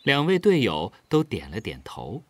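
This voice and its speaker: A man's voice: on the low side, wildly swooping pitch, normal speed, no room sound. A man narrating a scripted children's story expressively.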